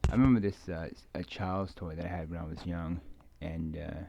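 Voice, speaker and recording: Low, gravelly man rambling hesitantly. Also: steady tone. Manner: hesitant, meandering, halting delivery with many fillers. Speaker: ASMR video maker. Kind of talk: unscripted casual ASMR rambling. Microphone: microphone moved around beside a keyboard. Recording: muffled home recording with background noise.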